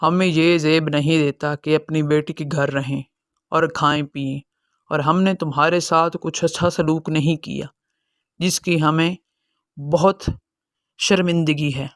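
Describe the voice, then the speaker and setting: A man playing a gentle, sorrowful elderly father in a dramatized cartoon moral story. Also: a little high, fairly even intonation, unhurried, no echo, clean studio sound. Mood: ashamed. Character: sorrowful, self-sacrificing elderly father, gentle with his children.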